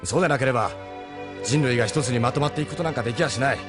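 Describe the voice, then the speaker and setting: A man acts out a gruff, steadfast ship captain in a Japanese radio drama. He talks quickly and bluntly at a middle pitch, pressing his points like a mentor, with music clearly behind him. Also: close to an everyday voice. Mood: earnest, encouraging. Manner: blunt, urgent, exhorting. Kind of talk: Japanese anime audio drama acting.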